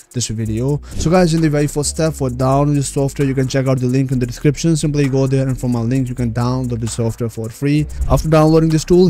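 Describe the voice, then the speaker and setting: Male, tutorial host, mellow soft-edged tone, mid pitch, step-by-step voice-over, direct address to viewers. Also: a little hiss or hum. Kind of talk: screen-recorded tutorial voice-over.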